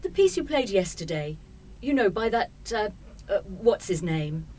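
A woman's voice: mid-range voice, sing-song, a little slow, warm tone. A woman playing a friendly, kindly grown-up talking to a child in a radio play.

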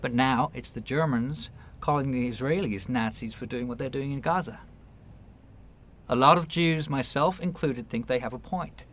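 A man's voice: medium pitch, normal speed, a bit bright, lightly acted. Actor as guarded, wry New York finance man. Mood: angry, pained. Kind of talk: radio play dialogue.

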